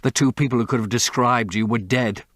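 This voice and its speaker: A man voicing a vengeful sailor who threatens slowly and deliberately. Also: mid-range voice, steady tone, a bit bright, dry recording, studio quality, natural voice. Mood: menacing. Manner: slow, menacing, deliberate.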